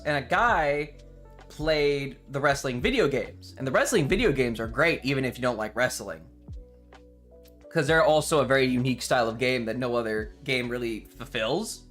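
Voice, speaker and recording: A man with a medium-pitched voice talks casually on a livestream. He rambles with enthusiasm and swears offhandedly, while music plays behind him. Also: a bit bright.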